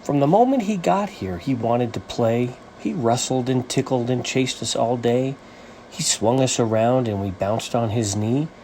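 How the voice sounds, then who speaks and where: A man reading a rhyming children's story as its author, with an animated, sing-song rhythmic delivery. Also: mid-range voice, measured pace, a little hiss or hum.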